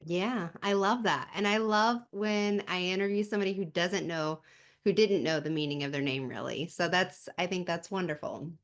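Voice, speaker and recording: A woman's voice: fairly low pitch, moderate pace. A woman hosting a podcast, asking questions in a friendly, affirming way during a casual interview. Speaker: podcast host.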